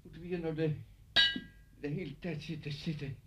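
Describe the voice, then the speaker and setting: An actor in a Dutch radio play, playing a nervous, irritable schoolmaster who sounds agitated, snappish and sarcastic. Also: male voice, fairly high pitch, fairly even intonation, moderate pace, mellow, breathy edge, soft background music. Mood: irritable, tense. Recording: dull, muffled old radio recording.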